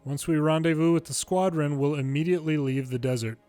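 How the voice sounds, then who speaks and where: A man's voice: a little high, brisk, mellow, faint music behind. A man reading a video game's story dialogue aloud in English, as a longplay narrator.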